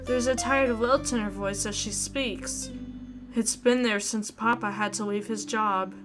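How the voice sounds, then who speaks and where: A woman voicing an anxious, caring young woman narrating a visual novel in the first person with expressive introspection. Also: on the high side, unhurried, lightly acted. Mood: reflective. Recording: guitar music behind. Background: plucked guitar music.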